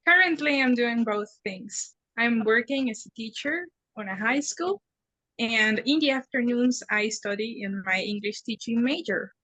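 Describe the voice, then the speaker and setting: A woman's voice: a little high, animated, a little slow, sharp tone, no room sound, low-quality recording. A young woman from Mexico City, a teacher answering exam questions confidently and with humor. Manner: confident, joking. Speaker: exam candidate, high school teacher.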